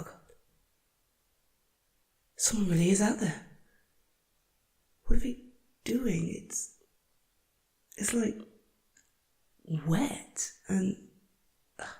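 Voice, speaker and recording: A woman playing a flustered, jumpy female librarian in an intimate audio roleplay, breathy and hesitant as she mutters to herself. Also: medium pitch, slow, pauses often, mellow, full character voice. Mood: nervous. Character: flustered, jumpy young female librarian alone at closing time.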